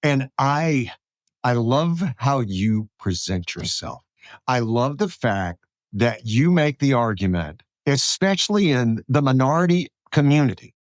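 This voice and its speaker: A man's voice: mid-range voice, dry recording. Folksy man hosting a dry-sounding podcast. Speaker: podcast host. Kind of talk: conversational podcast interviewing.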